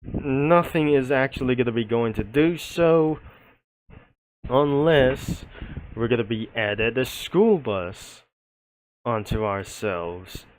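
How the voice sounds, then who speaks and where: A man's voice: mid-range voice, expressive, takes it slowly, slight background noise, low-quality recording. A man giving unscripted video commentary in a rambling, roundabout way. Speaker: video creator presenting a comic studio.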